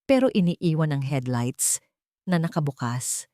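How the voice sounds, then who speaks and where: A woman with a low, even voice tells a grim story in a steady, scripted way. She is recorded close and dry, with no room sound around her. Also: unhurried, no echo. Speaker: narrator. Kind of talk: scripted dramatic narration.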